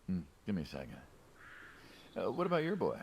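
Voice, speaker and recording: A man's voice: sits low, wildly swooping pitch, a little fast, creaky, breathy edge, full character voice. A man playing a friendly, inquisitive, wryly skeptical middle-aged American mobster in naturalistic video game dialogue. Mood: reflective, easygoing.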